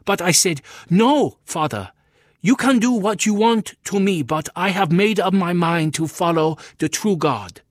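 A man's voice: high-pitched, lively intonation, measured pace, no room sound, voice pushed up. A man voicing an earnest, shaken young male convert telling his story.

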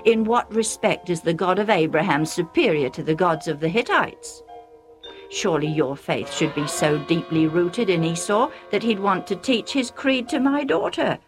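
A woman's voice: lowish voice, animated, moderate pace, soft background music, natural voice. A woman voicing a proud, scornful foreign father who blusters and mocks. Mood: indignant. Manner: blustering and sarcastic.

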